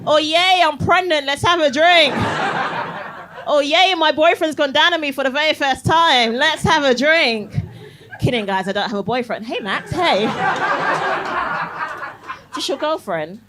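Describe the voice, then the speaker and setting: A woman's voice: high-pitched, a little slow, long pauses, noisy background. A young woman from Barbados performing a cheeky stand-up set in a comedy club, teasing the crowd and laughing through her lines. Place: comedy club, live audience.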